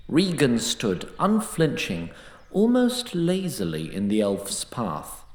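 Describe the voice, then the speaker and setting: Fantasy narrator, male: deliberate and dramatic, highly varied melody, noticeably echoing space. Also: huge pitch swings, a little mellow. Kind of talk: dramatic scripted storytelling narration.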